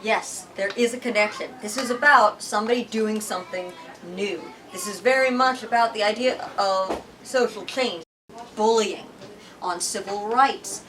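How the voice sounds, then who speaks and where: A woman reading a story aloud to a group in an expressive, emphatic way. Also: mid-range voice, moderate pace. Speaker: bookstore lit talk leader reading aloud. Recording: distant microphone, room with audience noise.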